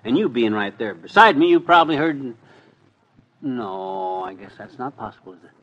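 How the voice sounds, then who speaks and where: A man playing an excitable, blustering husband in 1950s radio comedy, talking in folksy, indignant, sputtering slang. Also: mid-range voice, normal speed, muffled recording. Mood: dismissive.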